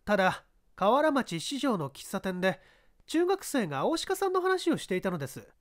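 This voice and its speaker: A man voicing a brazen young woman, a haughty and sharp-tongued scout, in a dramatised audiobook. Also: sits high, sing-song, moderate pace. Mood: brazen, scornful.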